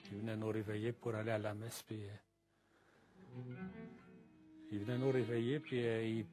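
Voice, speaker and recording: Lowish man, flat monotone, hesitant, cello and guitar under. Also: measured pace. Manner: hesitant, low-key. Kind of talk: testimony.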